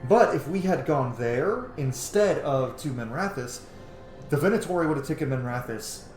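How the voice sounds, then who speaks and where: A man giving casual, reflective game commentary, thinking aloud in a halting, informal way. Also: a little high, lively intonation, a little mellow, echoey room, soft background music. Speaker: gamer, host of a roleplay playthrough.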